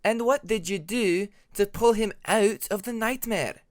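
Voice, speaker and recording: A man voicing a puzzled, doubtful female dragon with a deliberate, questioning delivery in a narrated fantasy story. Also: high voice, no echo, studio quality, voice pushed up. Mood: puzzled, skeptical.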